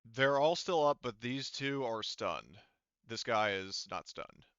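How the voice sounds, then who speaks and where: A man's voice: medium pitch, animated, takes it slowly, fairly bright tone, smooth, no room sound, muffled recording. A man running a tabletop roleplaying game, narrating combat and dice rolls in a conversational, profane way. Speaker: game master.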